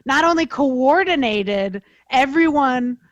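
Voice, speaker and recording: A woman's voice: sits high, expressive, takes it slowly, no echo, dull audio. A woman panelist chatting casually, emphatic and slangy, with an effusive way of talking. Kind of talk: casual chat on an online panel.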